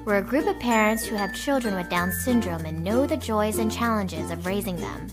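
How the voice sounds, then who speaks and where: A woman giving a public service announcement as a calm, sincere reading. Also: medium pitch, normal speed. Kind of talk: read announcement. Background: jingle music.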